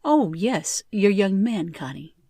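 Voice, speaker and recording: A doting, polite grandmother acted by a woman in an old-time radio play. Her mid-pitched voice moves with lively, affectionate inflection and sounds close and dry. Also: slow pace, dry recording. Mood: delighted.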